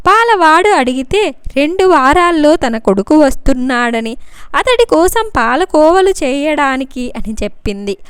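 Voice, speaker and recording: A woman's voice: high-pitched, smooth, no room sound. A woman reading a scripted story as its narrator.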